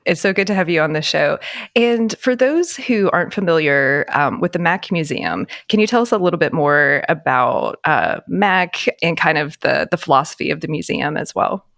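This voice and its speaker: A woman's voice: low voice, lively intonation, moderate pace, gravelly, a bit bright, no echo, clean studio sound. A woman hosting a podcast, enthusiastic and upbeat as she introduces her guest. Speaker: podcast host. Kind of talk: scripted intro then casual interview.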